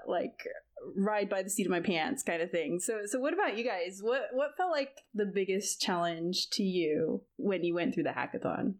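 Chatty woman hosting a podcast. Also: mid-range voice. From California. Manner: chatty, laughs often. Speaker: podcast host. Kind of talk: casual podcast chat.

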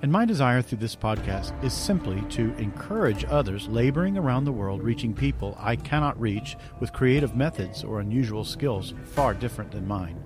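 A man's voice: medium pitch, expressive, normal speed, hardly any pauses, music in the background. A man hosting a faith podcast, giving an earnest, upbeat scripted introduction and then interviewing a guest. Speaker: podcast host.

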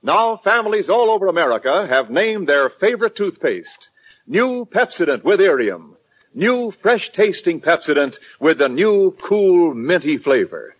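A man's voice: high-pitched, sing-song, unhurried, husky. A man announcing and selling in an emphatic 1940s radio style. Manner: emphatic, salesmanlike. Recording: dull vintage radio broadcast, music behind.

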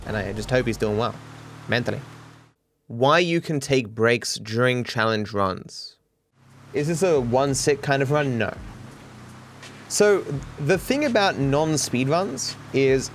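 A man's voice: medium pitch, lively intonation, measured pace, a little hiss or hum. A tired man from Australia with a cultivated Australian accent, musing as he answers viewer questions in a casual ramble, trailing off with fillers and restarts.

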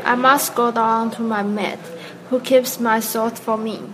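A woman's voice: a little high, flat delivery, unhurried, a little hiss or hum, close to an everyday voice. A woman voicing a scheming false bride who dodges questions and bosses her maid. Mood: evasive. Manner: evasive, bossy toward her maid.

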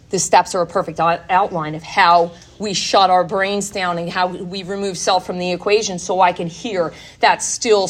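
Candid woman sharing recovery story. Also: fairly low pitch. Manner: candid, emphatic, conversational. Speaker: recovery workshop speaker. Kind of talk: personal testimony talk. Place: workshop hall with audience.

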